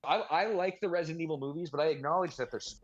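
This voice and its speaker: A man's voice: on the high side, racing. A man chatting casually as a podcast co-host, giving opinions in a blunt, sweary, slangy way. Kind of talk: casual chat, opinionated commentary. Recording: dull, lo-fi voice audio.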